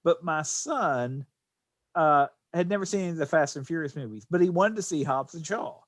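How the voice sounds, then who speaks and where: Casual male podcast co-host, higher-set voice. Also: normal speed, dry recording, studio quality.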